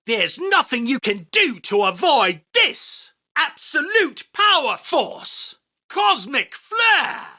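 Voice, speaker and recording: A man's voice: very high-pitched, sing-song, slow pace, bright, forceful tone, full character voice. A male voice actor playing an arrogant, grandiose self-proclaimed king of card duelists, with a pompous, commanding, theatrical delivery for a video game. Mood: fierce, commanding. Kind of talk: video game character battle lines.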